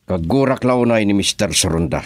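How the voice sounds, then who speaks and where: A man's voice: on the low side, lively intonation, fairly quick, no echo, natural voice. An actor playing a grumpy, hot-tempered man in an Ilocano radio drama. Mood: irritated.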